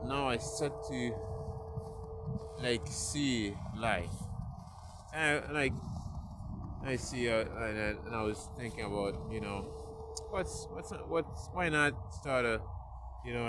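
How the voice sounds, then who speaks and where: A man speaking English as a later language, giving an earnest motivational talk to his viewers, with many restarts and pauses. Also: medium pitch, noisy background. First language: not English. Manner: halting, restarts words, earnest. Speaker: vlogger.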